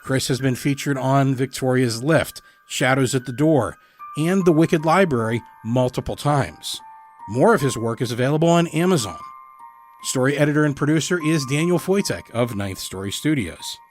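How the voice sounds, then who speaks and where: A man's voice: medium pitch, lively intonation, moderate pace. A man who produces a story podcast, reading scripted credits with casual asides. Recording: faint music behind the voice.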